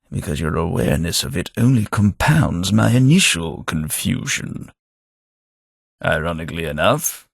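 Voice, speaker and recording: An actor playing a formal, polite, long-lived London gentleman in a game, speaking with measured diction. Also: sits low, gravelly, no room sound, clean studio sound, heavily acted. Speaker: game companion. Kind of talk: game voice acting.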